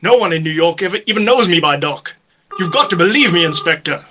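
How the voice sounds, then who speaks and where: A man's voice: high voice, lively intonation, fast talker, music in the background. A man playing an earnest husband caught up in a murder case, with stagey delivery in an amateur radio mystery. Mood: pleading, defensive. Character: earnest husband suspected of his wife's murder. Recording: slightly noisy amateur voice-message recording.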